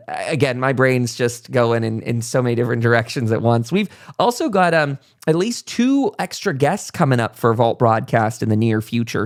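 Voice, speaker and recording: Enthusiastic, rambling male podcast host. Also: mid-range voice. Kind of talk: casual interview chat.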